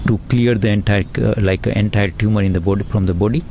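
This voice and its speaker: A man's voice: lowish voice, steady tone, fast talker, lo-fi sound, background noise. A middle-aged man with an Indian accent, a pathologist guest giving earnest explanatory answers with frequent fillers and "right, right".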